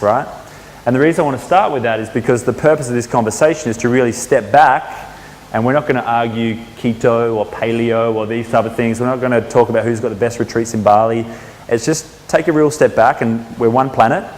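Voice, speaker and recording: A man's voice: mid-range voice, darkish tone. A man hosting a networking event, chatting with the audience and asking the room questions. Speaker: event host. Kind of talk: casual facilitating at a networking event. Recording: long reverb in a hall, faint room noise.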